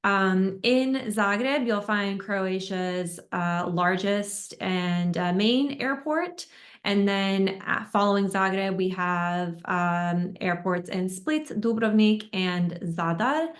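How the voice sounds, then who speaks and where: A woman's voice: medium pitch, slow pace. A young American woman giving a webinar presentation on a video call, friendly, with many ums and uhs. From United States. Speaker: tour destination expert. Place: indoors at home in a room.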